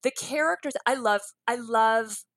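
A woman's voice: a little high, measured pace, a bit bright, no echo. A woman guest author in a conversational interview, chatty, laughing often and trailing off to restart her thoughts.

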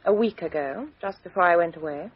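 An actress playing a composed, outspoken young Englishwoman with a crisp, frank upper-class delivery in a 1950s radio drama. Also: on the low side, brisk. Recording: dull 1950s radio recording.

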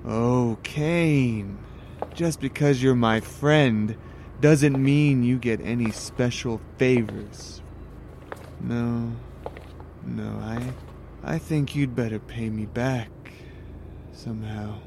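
A man's voice: mid-range voice, slow, a little mellow, slight background noise. An actor playing a slick, menacing courier boss in an audio drama, drawling and taunting as he leans on an old friend. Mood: smugly menacing.

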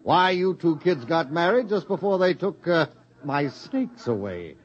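Brief: fussy tippling fake doctor, lively and a bit high-voiced, muffled 1950s radio audio. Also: male voice, measured pace. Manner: fussy, theatrical, hesitant with uhs. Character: boozy phony doctor, man, fussy and theatrical. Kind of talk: 1950s radio drama acting. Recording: dull 1950s radio broadcast.

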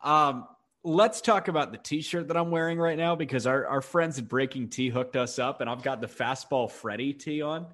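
A man's voice: medium pitch, normal speed, fairly bright tone. A man from Chicago co-hosting a baseball podcast, congested with a cough, chatting in a laid-back way. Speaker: podcast co-host. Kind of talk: casual sports podcast chat.

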